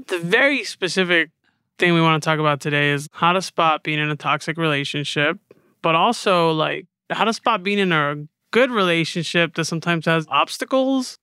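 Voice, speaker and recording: A man's voice: on the high side, a bit bright, clean tone, no room sound, clean studio sound. An American man co-hosting a podcast in a conversational style. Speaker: podcast co-host.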